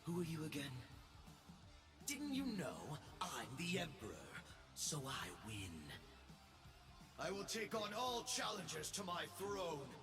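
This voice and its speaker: Young tyrant emperor for a game: arrogant, pompous, fairly high and gravelly, veering from roar to whisper. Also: male voice, wildly swooping pitch, slow, pauses often, full character voice. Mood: mocking, smug. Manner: pompous, gloating, swinging between shouts and whispers. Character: arrogant, power-mad young male self-proclaimed space emperor. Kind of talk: theatrical video game voice acting. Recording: loud music behind the voice. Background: music.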